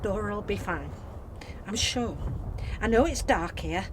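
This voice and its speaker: Clear background noise surrounds a woman playing a fussy factory line manager in an amateur radio drama. She takes her time, soothing one moment and bossing the next, with a lively rise and fall. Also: medium pitch, a little slow, natural voice. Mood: reassuring. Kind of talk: amateur radio play acting. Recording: clearly noisy amateur recording.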